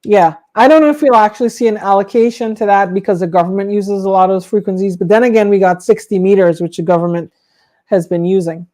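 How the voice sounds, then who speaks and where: A woman with a mid-pitched voice co-hosts an informal livestream. She talks casually and conversationally, as one hobbyist chatting to others. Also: steady tone, quick pace, dark tone. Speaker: livestream co-host.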